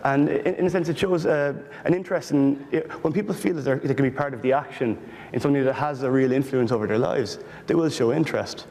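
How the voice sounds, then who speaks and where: A man from Ireland with an Irish accent, speaking earnestly but haltingly on a panel about political research. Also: medium pitch, darkish tone, long reverb tail. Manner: earnest, halting, many restarts. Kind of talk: panel discussion.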